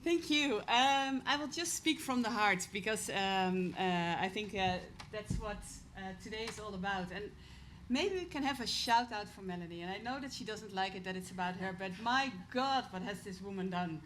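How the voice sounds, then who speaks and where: A woman's voice: mid-range voice, normal speed, few pauses, fairly bright tone. A woman official from the Netherlands gives heartfelt, rambling remarks from the stage and speaks without notes. Her voice sits mid-range, with a faint hiss in the background. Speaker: government official giving remarks. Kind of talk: informal speech from the stage. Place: event hall.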